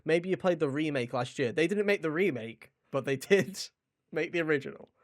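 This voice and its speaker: A man's voice: a little high, animated, a little fast. A man hosting a podcast in a casual, informal chat about video games, rambling a little and addressing listeners directly. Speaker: podcast host.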